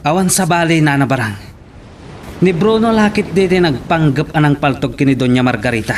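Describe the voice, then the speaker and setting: A man's voice: on the high side, expressive, fairly quick, natural voice. A man with an Ilocano accent playing a loyal, alert hacienda henchman in a radio drama. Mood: earnest, vigilant. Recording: noisy radio drama recording.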